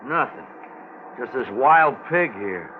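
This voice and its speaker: An actor playing a brisk, puzzled Navy shipmate in a 1950s radio drama. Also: male voice, mid-range voice, very animated. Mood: grim, uneasy. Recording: tinny, noisy 1950s radio recording.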